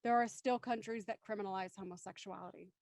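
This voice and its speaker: A woman's voice: mid-range voice, fairly even intonation, fast, noisy background. A woman hosting a live panel discussion, speaking in an emphatic, articulate way. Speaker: host of a live show discussion.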